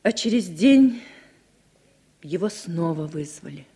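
A narrator with a husky voice, reading scripted narration for a 1960s Soviet radio drama. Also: medium pitch, expressive, slow pace, warm tone, exaggerated voice.

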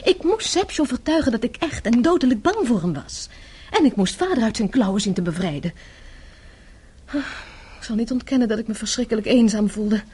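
Radio play: a composed, tender married woman, mellow and breathy, gently persuasive. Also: on the high side, animated, moderate pace. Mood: tender, earnest. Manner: intimate, earnest, gently persuasive. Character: composed, affectionate married woman with quiet courage. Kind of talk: radio play acting in Dutch.

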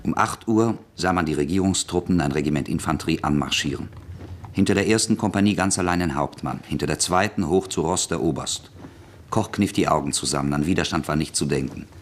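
Very deep-voiced male narrator. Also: slight background noise. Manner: calm, measured storytelling. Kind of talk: scripted radio drama narration.

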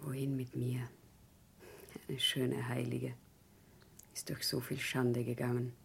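An actress playing a proud, wounded wife hailed as a saint in a German radio play, with intense theatrical delivery. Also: female voice, a bass voice, level tone, slow pace, slight background noise, heavily acted. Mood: hushed despair. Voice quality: husky, breathy.

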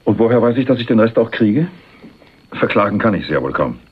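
A hard-boiled crook with a deep, husky voice and lively intonation. He is laconic and sardonic, a tough ex-soldier in a crime radio play, heard through narrow, phone-like sound. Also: a little fast. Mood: sardonic, bargaining. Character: wry, tough male ex-soldier crook bargaining for money. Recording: narrow, muffled 1980s radio drama recording.

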